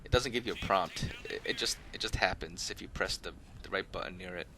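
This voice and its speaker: A man's voice: low voice, a little fast, noisy background. A man giving casual, unscripted gameplay commentary as a video host, talking in an easygoing, rambling, conversational way. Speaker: host of a gaming video channel.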